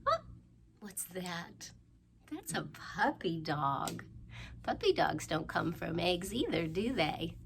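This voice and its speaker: Slow-paced woman reading to young children. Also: fairly low pitch, very animated, creaky. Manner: sing-song questioning with playful pauses. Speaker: children's storytime presenter. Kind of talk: animated read-aloud for young children. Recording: faint background noise.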